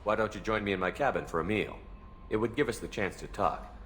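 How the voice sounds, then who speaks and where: A male actor plays a human starship commander in a video game. He is low-pitched and brisk, steady and authoritative in short plain statements. The sound carries a long reverb. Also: natural voice. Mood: easygoing. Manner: matter-of-fact, brief statements. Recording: long reverb, faint background noise.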